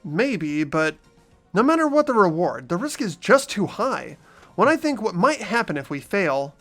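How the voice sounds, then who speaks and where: A man's voice: high-pitched, very animated, normal speed, a bit bright, soft background music. A man voicing an earnest, anxious teenage schoolboy who narrates his own story in a visual novel game, expressively. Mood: worried.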